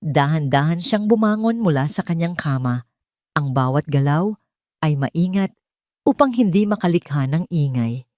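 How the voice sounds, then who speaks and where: A woman narrating an emotional drama story in a measured, scripted way. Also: deep voice, expressive, a little mellow, no room sound, studio quality.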